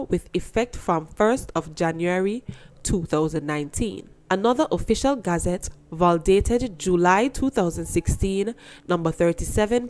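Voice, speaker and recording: A woman newsreader delivers scripted news. Her voice sits slightly low, and her delivery is formal and measured. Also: a little mellow, rough recording.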